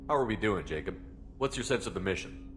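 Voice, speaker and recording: An actor playing a composed starship commander in a video game, direct and controlled. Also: male voice, on the low side, expressive, quick pace, fairly bright tone, slight background noise. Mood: composed, interested. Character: composed male starship commander questioning his crew. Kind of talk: video game character acting.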